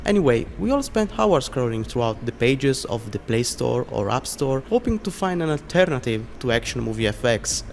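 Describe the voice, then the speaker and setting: A man's voice: medium pitch, sing-song, moderate pace, vocal fry, hardly any pauses, a little hiss or hum. A man with an Italian accent, a non-native English speaker, narrating a scripted video essay in an earnest, explanatory way.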